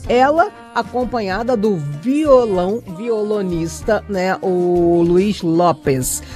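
A woman's voice: medium pitch, slow, warm tone, faint music behind. Playful woman radio host. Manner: playful, teasing banter. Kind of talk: live radio talk show. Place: radio studio with faint music behind.

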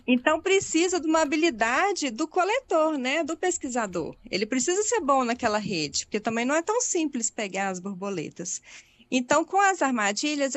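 High-pitched woman with Minas Gerais accent. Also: no room sound. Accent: Minas Gerais Portuguese. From Belo Horizonte, Brazil. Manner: patient, explains step by step, frequent tag questions. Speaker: butterfly researcher and project coordinator. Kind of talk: explanatory interview answers.

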